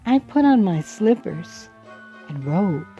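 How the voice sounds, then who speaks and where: A woman reading a children's story aloud expressively, with measured, dramatic phrasing and pauses. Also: mid-range voice, very animated, dark tone, lo-fi sound, faint music behind. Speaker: children's book reader.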